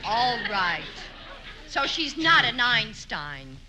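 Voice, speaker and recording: An actress playing a bossy neighbor wife with a tart, sharp tongue, in 1940s radio sitcom style. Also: female voice, fairly high pitch, sing-song, takes it slowly. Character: brassy, bossy middle-aged neighbor wife. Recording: hissy old radio broadcast.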